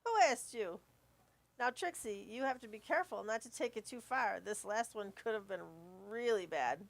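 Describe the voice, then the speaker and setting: A woman acts out a polite school staffer trying to keep students in line in an amateur audio play. She is fairly high in pitch, patient yet admonishing. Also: animated, normal speed. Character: patient but easily exasperated female school staffer. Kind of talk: amateur live radio play.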